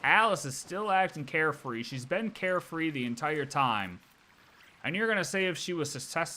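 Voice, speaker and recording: A man's voice: on the high side, sharp tone. A man chatting casually as a livestreamer, slangy and rambling, repeating phrases for emphasis as he speculates. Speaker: livestreamer reacting to game lore. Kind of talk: casual livestream chat, speculating about game story.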